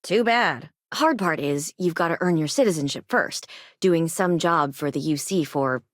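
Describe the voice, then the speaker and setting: A woman's voice: low-pitched, moderate pace, no room sound. An actress playing a no-nonsense, weary but caring woman doctor in a video game, speaking in a dry, conversational way. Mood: matter-of-fact. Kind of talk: scripted game dialogue lines.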